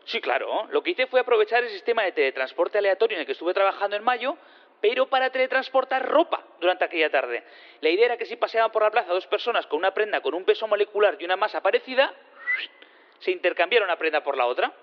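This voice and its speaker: An actor in an audio drama playing an eager space physicist on the witness stand, rambling, hesitant and repeating himself. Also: male voice, high-pitched, lively intonation, fast talker. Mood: explanatory, flustered. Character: rambling, eager male space physicist who teleports things. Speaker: witness in a courtroom. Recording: dull lo-fi sound in long reverb.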